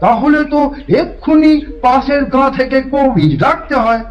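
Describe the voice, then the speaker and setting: A man's voice: extremely high pitch, moderate pace, muffled recording. A man playing a kind, meek, sorrowful hunchbacked villager in a fairy tale, speaking humbly and plaintively. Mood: humble, obliging. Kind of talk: animated fairy-tale voice acting.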